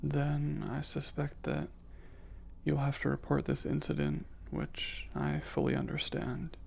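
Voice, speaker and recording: A man voicing a curious, emotionally reserved alien scientist in an intimate ASMR roleplay, speaking formally and precisely, with hesitant, measured diction. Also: mid-range voice, very animated, gravelly, a little mellow, breathy edge, heavily acted. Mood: apologetic, formal.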